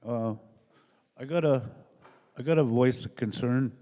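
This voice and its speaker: A man's voice: medium pitch, a little slow, warm tone. An older man making plainspoken, hesitant remarks from his seat at a public assembly, over a hall sound system. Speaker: audience member raising a concern. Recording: echoey large hall over PA.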